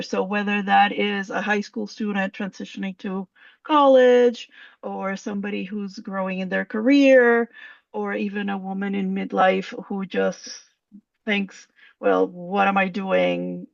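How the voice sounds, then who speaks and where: A woman coach from Puerto Rico, speaking gently and thoughtfully in a casual chat. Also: mid-range voice, creaky, no room sound. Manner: slow, gentle, thoughtful with fillers. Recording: dry, dull lo-fi mic.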